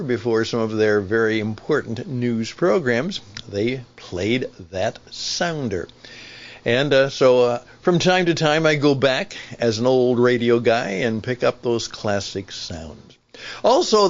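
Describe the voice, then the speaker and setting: An older male radio broadcaster delivers conversational solo commentary. He speaks in deliberate, broken phrases, restating words for emphasis. His voice sits at a medium male pitch, and the audio is somewhat dull and band-limited. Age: 60s or older. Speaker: podcast host, veteran radio broadcaster.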